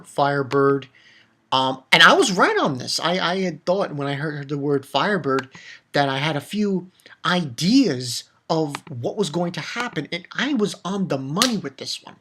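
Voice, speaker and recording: A man's voice: on the high side, fairly bright tone, a little hiss or hum. Ranting man in a casual off-the-cuff review. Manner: ranting, emphatic, blunt and profane. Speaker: manga review video host.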